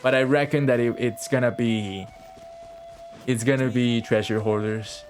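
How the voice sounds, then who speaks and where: A man's voice: medium pitch, fairly even intonation, measured pace. Young male streamer swearing over game music. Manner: slangy, sweary, reactive. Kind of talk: casual live gameplay commentary.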